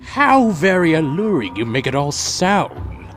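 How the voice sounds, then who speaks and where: A man's voice: a little high, very animated, a little slow, fairly bright tone. A man playing a proud, brooding vampire warrior in a fan audio drama, speaking and narrating in a solemn, formal, dramatic way. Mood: wary, questioning. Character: proud male vampire warrior, loyal to his clan, brooding. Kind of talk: audio drama dialogue and first-person narration.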